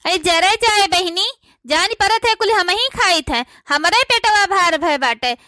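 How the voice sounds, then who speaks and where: Woman voicing a shrill, sharp-tongued cartoon village housewife. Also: expressive, a little slow, dry recording, studio quality, exaggerated voice. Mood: indignant scolding. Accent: Awadhi. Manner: complaining, scolding. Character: quarrelsome village housewife, sharp-tongued, self-pitying cartoon daughter-in-law. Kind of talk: cartoon comedy dialogue.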